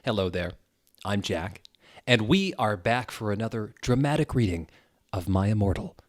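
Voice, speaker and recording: A man's voice: lowish voice, lively intonation, normal speed, dry recording, studio quality. A man hosting a dramatic comedic reading, theatrical and wry, with casual asides. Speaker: host reading fan fiction aloud.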